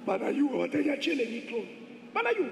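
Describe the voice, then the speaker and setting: A man's voice: very high voice, big pitch swings, brisk, vocal fry, very noisy. A man preaching emphatically, acting out stories in short phrases left for an interpreter. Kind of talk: animated sermon, acting out anecdotes. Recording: echoey hall, music behind. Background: music.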